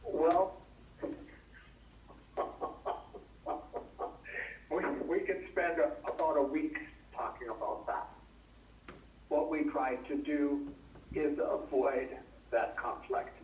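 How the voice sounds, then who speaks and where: A man on a speakerphone in a public meeting, explaining county rules in a measured way. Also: a little high, darkish tone, recorded from a distance, slight background noise. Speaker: county administrator on the phone. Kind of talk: public meeting answers by phone. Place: meeting room, heard over a speakerphone. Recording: phone voice on a speaker in an echoey room.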